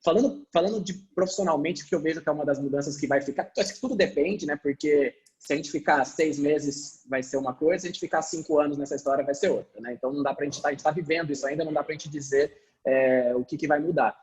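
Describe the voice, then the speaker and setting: A man's voice: a little high, fast talker, darkish tone, rough recording. A man speaking as a panelist over a video call, explaining animatedly with frequent fillers. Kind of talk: webinar panel discussion.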